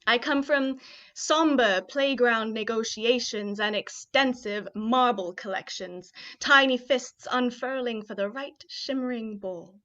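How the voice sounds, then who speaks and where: A woman's voice: on the high side, measured pace, a bit bright. Upbeat woman hosting a poetry stream. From Kenya. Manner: upbeat, chatty, with fillers between remarks. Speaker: host of an online poetry showcase. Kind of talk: live-stream hosting and spoken-word poetry reading. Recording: dull, muffled live-stream audio.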